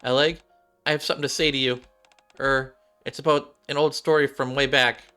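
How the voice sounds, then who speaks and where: A man voicing a shy, earnest young android gamer girl from a game story, halting and heartfelt. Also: medium pitch, little pitch movement, sharp tone, smooth, soft background music, voice pushed up, natural voice. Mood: hesitant. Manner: halting, heartfelt, repeats and restarts.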